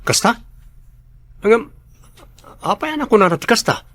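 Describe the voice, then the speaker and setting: A man's voice: high voice, big pitch swings, moderate pace, a bit bright, full character voice. A man acting a teasing, nosy male coworker in a radio drama.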